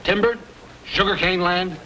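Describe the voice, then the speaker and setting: An actor playing a gregarious, folksy frontier storekeeper in an old-time radio drama. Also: male voice, high-pitched, steady tone, a little slow, bright, forceful tone, rough recording. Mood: hearty. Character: hearty, gregarious frontier storekeeper, jovially suspicious. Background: busy crowd chatter.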